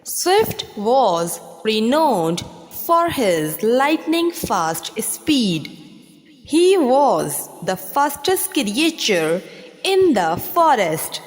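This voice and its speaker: Slow, animated woman storyteller with long reverb. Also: a little high. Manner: deliberate, clearly pronounced delivery. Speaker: children's storyteller and teacher. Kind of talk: scripted story narration for children. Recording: long reverb, music behind. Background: music.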